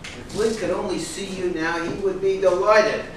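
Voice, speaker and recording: An actor in a staged reading playing a protective, admiring friend. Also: male voice, high voice, steady tone, normal speed, close to an everyday voice. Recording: echoey room from far off, faint noise.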